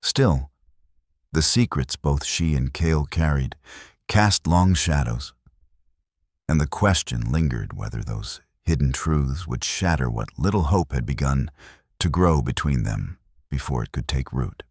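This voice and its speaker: Very deep-voiced male Western storyteller. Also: lively intonation, unhurried, slightly rough, dry recording, studio quality. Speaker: storyteller, narrator. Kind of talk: scripted dramatic Western story narration.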